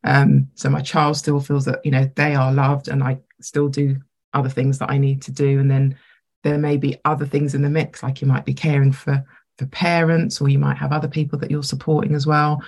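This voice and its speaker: Woman, podcast co-host, croaky, gravelly, husky, reflective, casual chat. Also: very deep voice, level tone, brisk, darkish tone. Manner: reflective, thinks aloud.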